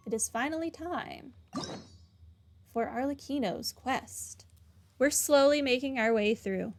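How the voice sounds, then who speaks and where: A woman giving casual commentary on a game playthrough, with wry, flippant asides. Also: fairly high pitch. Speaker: gaming streamer.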